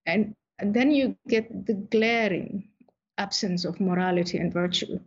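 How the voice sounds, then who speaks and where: A woman from Somalia, a guest author, answering interview questions in a measured, thoughtful way with frequent pauses and fillers. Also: mid-range voice, breathy edge, highs cut off.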